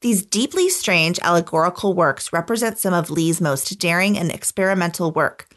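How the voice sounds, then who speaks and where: A woman reading scripted documentary podcast narration in an articulate, measured storytelling manner. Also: fairly low pitch, lively intonation, fairly bright tone.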